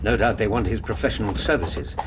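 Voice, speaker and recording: An actor playing a detective's loyal, decent British companion in a radio drama, thoughtful and earnest. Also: male voice, fairly low pitch, a little fast, background noise, close to an everyday voice. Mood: sober, thoughtful. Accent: British English.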